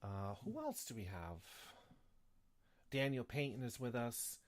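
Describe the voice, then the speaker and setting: Brief: male host of an easygoing live chat show, mid-pitched, sniffly, rambles with fillers. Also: wildly swooping pitch, unhurried. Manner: rambling, many fillers and restarts. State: sniffly with a slight cough.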